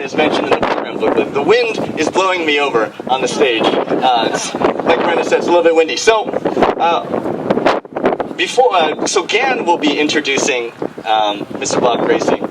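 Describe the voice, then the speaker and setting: A man's voice: fairly high pitch, recorded from a distance. Man emceeing outdoors in roaring wind. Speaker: master of ceremonies. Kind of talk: informal award introduction speech. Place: outdoor stage in strong wind. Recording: windy outdoor stage mic, loud wind noise.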